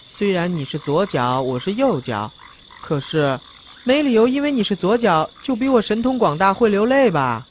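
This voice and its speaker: A performer playing a teasing, sharp-tongued, talkative sock with a sarcastic, chiding manner in a radio drama. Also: mid-range voice, sing-song, normal speed, slight background noise, close to an everyday voice. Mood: mocking. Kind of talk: Chinese radio drama dialogue acting.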